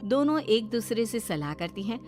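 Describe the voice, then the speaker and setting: High-pitched woman story narrator. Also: very animated, moderate pace. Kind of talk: animated Hindi moral-story narration. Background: soft background music.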